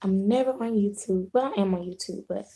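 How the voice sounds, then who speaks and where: A hoarse woman with a sore throat, hosting a casual live video chat with her viewers, rambling and restarting her sentences. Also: mid-range voice, background noise. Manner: unhurried, rambling, clears throat, frequent restarts.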